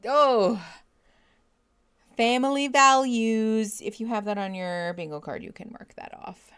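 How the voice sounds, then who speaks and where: A woman's voice: a little high, a little slow, a bit bright, clean tone. A woman streaming a casual reaction to a TV show, chatty and opinionated, with many fillers. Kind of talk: casual live reaction chat. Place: home room, at a standing desk.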